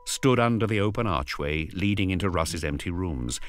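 Deep-voiced male dramatic narrator. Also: expressive. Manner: grave, storytelling, with deliberate pauses.

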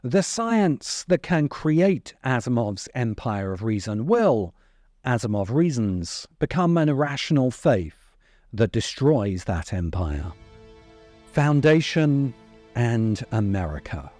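A middle-aged man narrating a scripted video essay in a deliberate, emphatic way, close to the microphone. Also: medium pitch, sing-song, darkish tone, no echo, soft background music. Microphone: close desk microphone.

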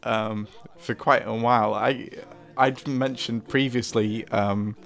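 A man's voice: medium pitch, steady tone, takes it slowly, creaky, a bit bright, soft background music. A man giving casual behind-the-scenes commentary as a co-host, chuckly and hesitant in manner. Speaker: co-host, writer and puppeteer.

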